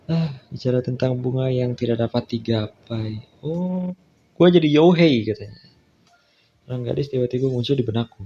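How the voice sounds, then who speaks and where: A man's voice: medium pitch, normal speed, mellow, husky. A man with an Indonesian accent doing a casual let's play, reading visual novel text aloud and adding colloquial off-the-cuff asides. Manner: colloquial, reacts with asides to what he reads. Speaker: gaming content creator. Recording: dull, lo-fi sound with faint music behind. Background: faint game music.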